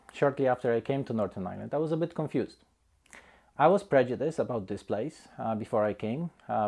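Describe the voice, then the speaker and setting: A man in his thirties from Poland with a Polish accent, telling his own story slowly, with many "uh" fillers between phrases. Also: fairly low pitch, a little mellow. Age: 30s. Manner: hesitant, frequent "uh" fillers. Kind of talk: personal testimony.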